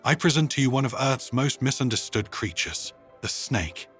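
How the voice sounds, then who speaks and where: Man acting a mischievous, showy officer, lively pitch. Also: moderate pace, soft background music, natural voice. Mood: playfully theatrical. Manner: playful, theatrical, conversational. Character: mischievous, showy male human security officer presenting a snake.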